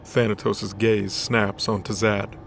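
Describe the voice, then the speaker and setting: A man's voice: fairly low pitch, little pitch movement, slow, creaky, a bit bright, a little hiss or hum. A man reading scripted fiction as the narrator of a story. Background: background music.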